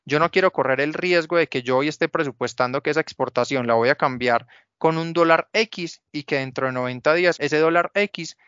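A man's voice: fairly high pitch, brisk, fairly bright tone, clear, resonant tone, no echo, muffled recording. A man speaking as a guest finance expert, explaining didactically through step-by-step examples. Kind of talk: explanatory interview answer.

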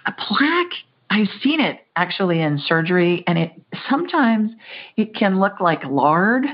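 A woman hosting a call-in show, talking in a brisk, chatty, folksy way. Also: mid-range voice, very animated. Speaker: psychic show host. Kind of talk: call-in radio chat. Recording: muffled lo-fi audio.

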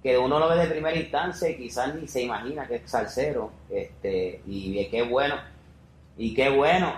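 A man's voice: medium pitch, normal speed. A man hosting a podcast interview in a casual, rambling way, often repeating phrases for emphasis. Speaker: podcast host. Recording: roomy echo with faint background noise.

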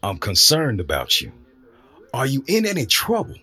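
A man's voice: medium pitch, wildly swooping pitch, moderate pace, very noisy. An actor playing a worried older father of a grown son in an audio drama.

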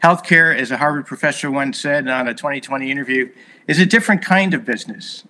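A man's voice: medium pitch, quick pace, bright, forceful tone, a little hiss or hum, poor audio. A man in his sixties whose first language was Hungarian, a guest telling long stories in a podcast interview with many fillers. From United States. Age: 60s. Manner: earnest, expansive storyteller with many fillers.

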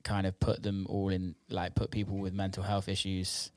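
Low-voiced man hosting a casual interview show. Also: monotone, moderate pace, dry recording. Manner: informal, slangy.

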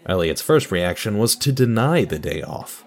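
A man's voice: fairly low pitch, big pitch swings, normal speed, creaky. A man delivering scripted narration and announcements for an audio drama.

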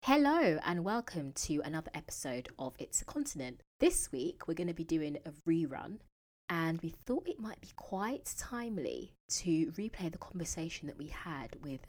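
A woman's voice: low voice, a little mellow. A woman co-hosting a podcast, opening the show and welcoming a guest with enthusiasm. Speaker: podcast co-host. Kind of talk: podcast intro and interview.

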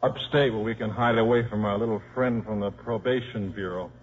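Brash, slangy coach on muffled old radio. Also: male voice, lowish voice, fairly even intonation, a little fast, breathy edge. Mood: wry, resigned. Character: wry, fast-talking, cynical football coach and father. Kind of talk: 1950s radio drama acting. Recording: muffled 1950s radio broadcast.

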